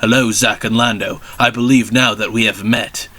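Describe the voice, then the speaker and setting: Man playing a formal, self-assured detective, bright-voiced. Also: fairly low pitch, fairly even intonation, normal speed.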